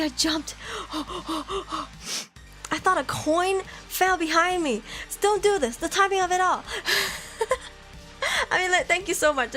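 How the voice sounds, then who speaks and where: Young woman, from Malaysia, live streamer, casual chat, slightly high voice, laughs a lot, faint music behind. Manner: chatty, laughs often, lively jumps in pitch. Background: faint background music.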